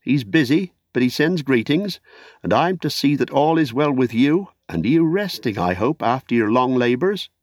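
A man's voice: medium pitch, animated, moderate pace, no echo, voice pushed up, natural voice. A small young hobbit from a fantasy storybook, played by a man reader. He speaks in a lifted voice, eager and earnest, with courteous, old-fashioned phrasing. The sound is very dry. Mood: cheerful greeting. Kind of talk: audiobook character acting.

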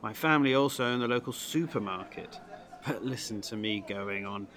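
A man's voice: on the low side, moderate pace, crowd noise, close to an everyday voice. An actor playing a self-important, sociable village businessman who talks boastfully in an audio drama. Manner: pompous, boastful.

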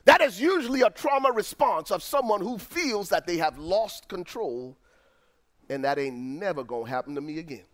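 A middle-aged man with a Liberian accent, preaching a sermon as pastor, swinging from near-shouts down to low, quiet lines. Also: animated, moderate pace. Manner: preaching with dramatic swings, rising to shouts then dropping low.

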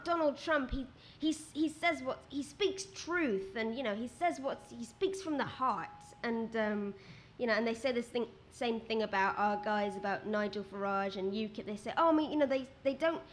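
A woman with a quiet voice, a guest writer talking at a live event, rambling with many restarts. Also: a little high, slight background noise. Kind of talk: conversational talk at a live event. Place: outdoor event with rain.

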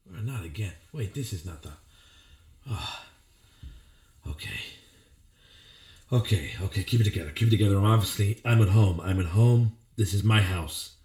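An actor playing a confused, weary amnesiac in a film noir audio play, halting and repetitive, with hard-boiled phrasing. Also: male voice, lowish voice, darkish tone, close to an everyday voice. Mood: disoriented, anxious.

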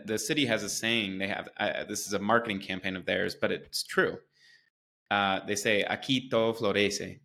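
Man, from the United States, low voice, flat intonation, earnest, enthusiastic, interview guest. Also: gravelly. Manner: earnest and enthusiastic, with repeated restarts. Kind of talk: conversational interview.

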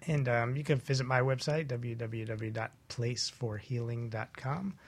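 A man's voice: medium pitch, fairly even intonation, moderate pace. A man speaking casually as an expert guest in a radio interview. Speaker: guest doctor and author.

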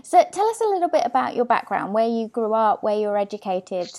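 A woman's voice: on the high side, big pitch swings, fairly quick, darkish tone. A woman hosting a podcast interview, asking friendly, encouraging questions. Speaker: podcast host. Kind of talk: interview conversation.

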